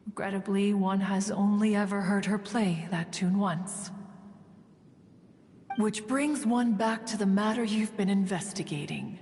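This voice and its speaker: A woman's voice: mid-range voice, darkish tone, long reverb tail, slight background noise, lightly acted. A woman playing a proud, ancient, aloof immortal who tells stories in formal, archaic, stately speech in a video game. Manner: formal, stately, archaic storytelling, refers to self as "one". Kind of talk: scripted video game dialogue.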